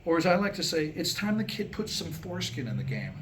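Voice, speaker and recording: A man's voice: fairly high pitch, very animated, quick pace, a little hiss or hum. A man delivers a humorous scripted monologue to a live audience in a wry, mock-scholarly way, posing rhetorical questions. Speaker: comedic speaker reading his own script. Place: live reading before a small audience.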